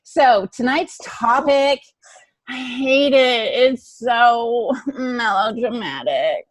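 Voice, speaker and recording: A woman's voice: fairly high pitch, slow, no echo, rough recording. A woman co-hosting a podcast in casual, playfully indignant banter. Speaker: podcast co-host.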